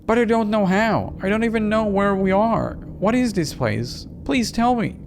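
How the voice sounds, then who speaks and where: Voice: medium pitch, lively intonation, moderate pace, slight background noise, voice pushed up, lightly acted. A performer voicing a frightened, protective young woman in a horror game, with urgent, pleading exclamations. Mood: alarmed, questioning.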